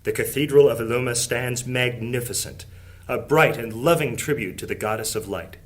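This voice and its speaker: A man's voice: mid-range voice, normal speed, close to an everyday voice. A man narrating a fantasy audio drama in a grand, scripted storytelling manner.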